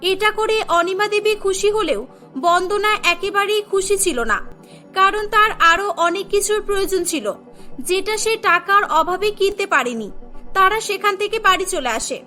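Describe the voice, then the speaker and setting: A woman's voice: very high-pitched, moderate pace, sharp tone. A woman narrating a scripted cartoon story as its storyteller. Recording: voice over prominent background music.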